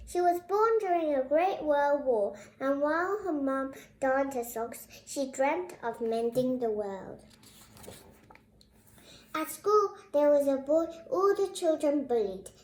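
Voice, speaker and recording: A high, young child's voice reads a storybook aloud as host of the reading. The delivery is presenterly and speaks straight to viewers. The sound is echoey, as in a reverberant room. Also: lively intonation, slow, darkish tone. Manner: presenterly, addresses viewers directly. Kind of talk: read-aloud storybook narration with brief asides. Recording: roomy, echoing indoor sound.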